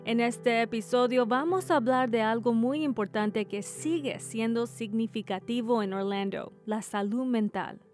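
A woman's voice: a little high, unhurried, hardly any pauses, a little mellow, soft background music. A woman from Texas with a Tex-Mex accent, hosting a public radio interview in careful, scripted Spanish. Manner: scripted, careful, apologetic about her Spanish. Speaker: radio host. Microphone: studio microphone.

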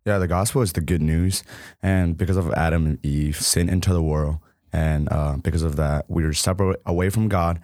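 Brief: man from Burma, very low voice, dry close sound, gentle guest testimony. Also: steady tone, moderate pace, dry recording. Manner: soft-spoken, many "like" fillers. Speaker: podcast guest. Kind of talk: casual testimony.

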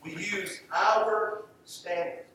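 An American man preaching a sermon in an emphatic style, with rhetorical repetition and deliberate pauses. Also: high-pitched, sharp tone. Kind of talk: sermon to a congregation. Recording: echoey, distant mic, faint background noise.

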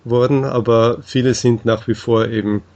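A man is being interviewed. His voice sits at an average pitch. He explains things in a measured, halting manner, often hesitating as he goes. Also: fairly even intonation, a little mellow, muffled recording.

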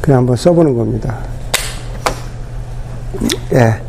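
A man preaching a sermon as pastor, his voice hoarse from a cold, talking unhurriedly with many pauses and fillers. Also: medium pitch, warm tone, slight background noise, rough recording.

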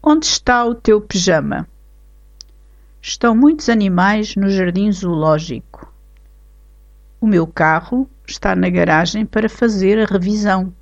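A woman with a European Portuguese accent reading example sentences aloud in a slow, careful, clearly articulated way for a language lesson. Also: medium pitch, expressive. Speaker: language teacher. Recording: slightly dull lo-fi recording.

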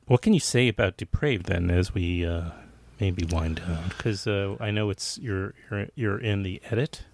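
A man hosting a casual interview podcast, speaking haltingly and rephrasing as he goes. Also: low-pitched, a little mellow.

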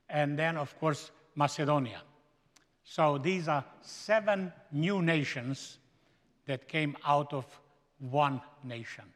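A man with a Slovenian accent, a guest speaker giving a slow, emphatic sermon in a seminary chapel. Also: a little high. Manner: slow, emphatic preaching with stretched-out phrases. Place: large chapel. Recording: echoey hall with long reverb.

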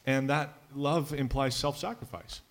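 A man, a pastor, answering a question on a conference panel in a thoughtful, measured way with some halting restarts. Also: mid-range voice.

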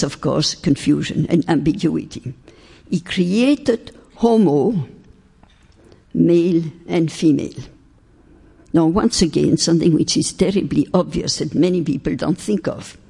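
A woman's voice: sits low, vocal fry, dark tone, slightly rough. Older woman lecturing in a hall. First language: French. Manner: deliberate, emphatic, stretches key words. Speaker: speaker on faith and philosophy. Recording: long hall reverb.

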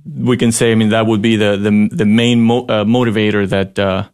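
Guy from ecuador, lowish voice, lots of uhs, studio guest. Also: little pitch movement, a little mellow. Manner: halting, many fillers. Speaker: guest, school board president. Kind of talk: radio interview. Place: radio studio.

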